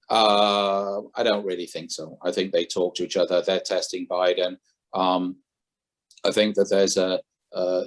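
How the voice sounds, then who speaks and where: A man, a former head trader, speaking as a podcast guest, opinionated and thinking aloud, often correcting himself mid-sentence. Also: lowish voice. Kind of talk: conversational interview.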